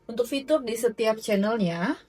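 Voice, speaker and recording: A woman's voice: on the high side, lively intonation, moderate pace, a little mellow. A woman narrating a product demo in an explanatory, instructional way. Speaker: product presenter.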